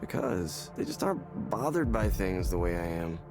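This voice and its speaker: An actor playing a despairing, hollow man in a visual novel game, speaking haltingly and repeating words as he searches for them. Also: deep voice, very animated, over music. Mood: self-loathing, gloomy. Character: despairing, hollow man confiding in a counseling session.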